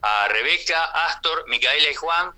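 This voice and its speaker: A man's voice: on the high side, lively intonation, normal speed, pushed and bright. A man with an Argentine accent, chatting casually and affectionately as a guest on a radio show.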